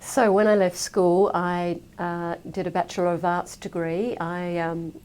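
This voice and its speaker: Middle-aged woman, lowish voice. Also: measured pace, clear, resonant tone. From Adelaide, Australia. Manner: relaxed, many fillers. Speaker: business leader. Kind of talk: casual self-introduction.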